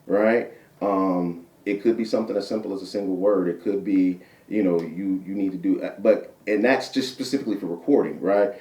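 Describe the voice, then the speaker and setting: A man with a deep voice, a guest poet chatting casually in an interview, repeating himself and tagging points with "right?". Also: moderate pace, a little mellow. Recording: roomy sound from a distant mic.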